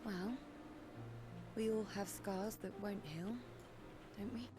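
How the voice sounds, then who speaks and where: A woman's voice: medium pitch, fairly even intonation, slow pace, lightly acted. A woman playing a cool, probing, teasing companion in naturalistic video game dialogue, terse and understated. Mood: guarded fondness. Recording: noisy ambience behind game dialogue.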